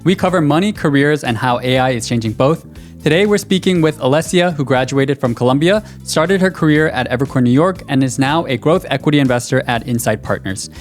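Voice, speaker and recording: A man with a plain middle-pitched voice hosts a finance podcast. He reads his opening with an upbeat lift. When he asks questions, he talks more loosely and hesitates. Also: a little fast, soft background music. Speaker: podcast host. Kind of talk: scripted intro and interview questions.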